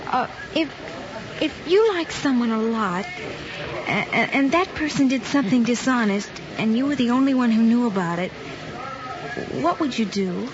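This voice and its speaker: High-voiced, earnest schoolgirl played by a woman. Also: animated, normal speed, close to an everyday voice. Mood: earnest, confronting. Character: honest, earnest schoolgirl classmate. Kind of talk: mid-century American screen drama acting. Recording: muffled old film soundtrack, faint noise.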